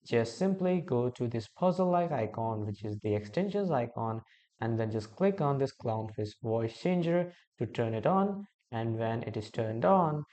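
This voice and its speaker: A man hosting a software tutorial, giving step-by-step instructions and addressing viewers directly. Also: mid-range voice, dull audio. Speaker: tutorial host.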